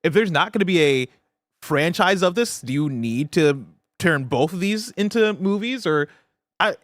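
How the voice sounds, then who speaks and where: A man's voice: fairly high pitch, lively intonation, normal speed, no room sound. Casual, inquisitive man with dry sound. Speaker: podcast host. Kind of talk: casual conversational discussion.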